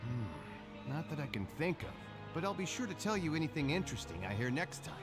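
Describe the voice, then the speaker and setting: A man's voice: on the high side, sing-song, fairly quick. An actor playing a friendly, talkative male inmate who loves card games, speaking eagerly in a video game. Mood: chatty, friendly. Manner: eager, chatty, emphatic. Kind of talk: video game dialogue acting. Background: game music.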